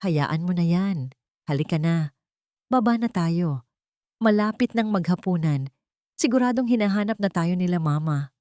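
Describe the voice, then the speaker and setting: A sweet-talking, deceitful husband from a narrated story, read by a woman. The voice is low and affectionate, coaxing in a casual way. It sounds close and dry. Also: expressive, normal speed, long pauses, mellow, no echo, close to an everyday voice.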